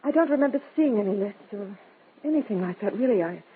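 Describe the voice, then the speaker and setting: An actress playing a fragile, grief-stricken widow in an old radio drama, pleading and overwrought, on a tinny recording. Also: female voice, mid-range voice, lively intonation, quick pace, a little hiss or hum, lightly acted. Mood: weary, pleading. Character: grief-stricken, worn-out widow of a party member. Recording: tinny band-limited vintage radio recording.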